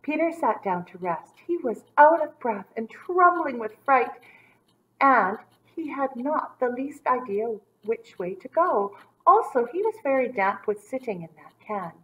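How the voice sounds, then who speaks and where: Woman, thirties, teacher reading to little kids; slightly high voice, swooping intonation, stretches words for drama. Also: expressive, measured pace, highs cut off. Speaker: teacher reading a children's story aloud. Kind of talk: expressive storybook read-aloud for young children. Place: small indoor room set up as a classroom.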